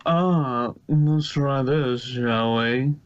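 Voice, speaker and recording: A man doing a slow, smooth, refined lady pony. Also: medium pitch, dry recording, highs cut off. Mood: pleased, genteel. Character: refined, fussy female pony stylist.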